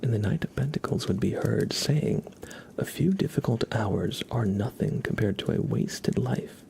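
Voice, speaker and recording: A man hosting an ASMR livestream, speaking gently and unhurriedly in a breathy whisper with some gravel in it. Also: fairly low pitch, slight background noise. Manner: soft-spoken, gentle, unhurried. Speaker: ASMR live streamer and host.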